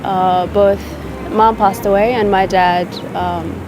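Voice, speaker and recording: A woman's voice: medium pitch, fairly even intonation, slow pace, background noise. A young woman, speaking thoughtfully in a documentary interview outdoors in a park. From South Sudan. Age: young adult.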